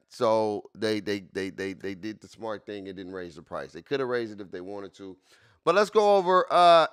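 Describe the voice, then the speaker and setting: A man's voice: fairly high pitch, a bit bright, clean tone, no echo, clean studio sound. A man in his late forties streaming casually, repeating himself with hype and constantly talking to his chat. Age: 40s. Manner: repetitive, hyped, keeps addressing chat.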